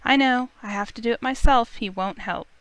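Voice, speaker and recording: A woman's voice: on the high side, normal speed, fairly bright tone, clear, resonant tone, natural voice. A woman voicing a dutiful, weary young heroine as she reads a visual novel aloud earnestly. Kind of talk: read-aloud visual novel narration and dialogue.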